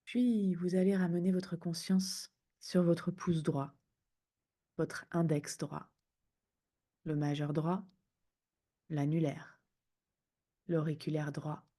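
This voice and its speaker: A woman guiding a sleep meditation in a soothing, hushed manner, speaking in unhurried phrases with pauses. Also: low voice, flat delivery. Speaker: yoga nidra guide.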